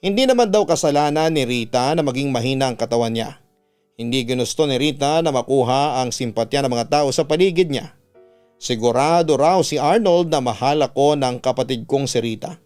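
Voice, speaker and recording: A man's voice: medium pitch, a little fast, a little mellow. A man who works as a radio announcer, narrating a dramatic first-person story. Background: background music.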